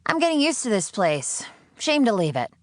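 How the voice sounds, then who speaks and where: A woman's voice: on the high side, big pitch swings, normal speed, no room sound, voice pushed up. A woman as a defiant teenage girl prisoner. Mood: sarcastic, defiant. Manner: dry sarcasm, guarded. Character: defiant, weary nineteen-year-old female prisoner. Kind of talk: audiobook character acting.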